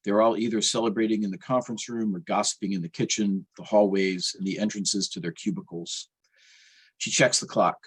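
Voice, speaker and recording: A man with a lowish, rather raspy voice reads literary fiction aloud. He sounds like an author at a reading, narrating his own prose with a wry edge.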